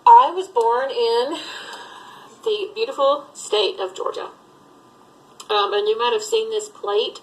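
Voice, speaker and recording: Middle-aged woman, grew up in Alabama, rambling casual chat, lots of fillers, medium pitch. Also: rough recording. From Southern US, Alabama. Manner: rambling, chatty, many fillers. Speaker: thrift reseller vlogger. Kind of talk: casual tag video chat.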